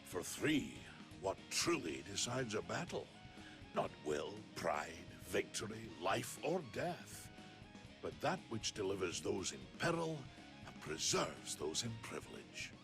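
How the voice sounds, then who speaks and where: A man voicing a flamboyant, ageless vampire gentleman in a video game, grandiose, self-assured and unhurried. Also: deep voice, big pitch swings, full character voice. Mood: grand, philosophical. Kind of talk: video game character acting. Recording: studio voice under loud guitar music.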